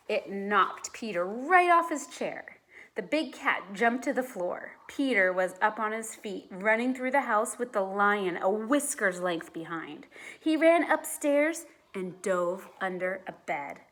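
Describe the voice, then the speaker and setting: Expressive woman reading a children's story. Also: mid-range voice, slow, rough recording. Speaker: teacher reading aloud.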